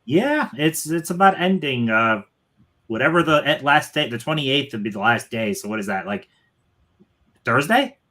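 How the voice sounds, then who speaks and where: A man's voice: medium pitch, expressive, moderate pace, pushed and bright. A man in a casual online chat, hesitant and searching for words. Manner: hesitant, many fillers and restarts. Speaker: guest creator.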